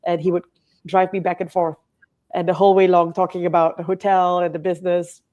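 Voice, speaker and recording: A woman's voice: lowish voice, level tone, fairly quick, dark tone, lo-fi sound. A woman in her forties from Malaysia, a hotel company CEO chatting as a podcast guest, a little nasal from hay fever, with many fillers and restarts. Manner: chatty, frequent restarts and fillers, laughs often. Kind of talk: casual interview conversation.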